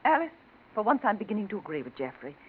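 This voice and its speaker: Actress for well-meaning but impatient female friend; genteel, highly animated vintage radio delivery, faint hiss. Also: quick pace, natural voice. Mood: exasperated concern. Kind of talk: 1940s radio mystery acting. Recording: tinny, noisy 1940s radio recording.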